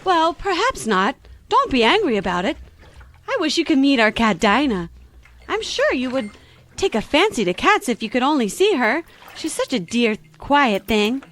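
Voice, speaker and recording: Earnest, chatty little girl, voiced by a woman; high and sing-song. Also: very animated, normal speed, a bit bright. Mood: chattery. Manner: chattery, earnest, easily flustered. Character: curious, polite little girl. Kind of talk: audio drama acting.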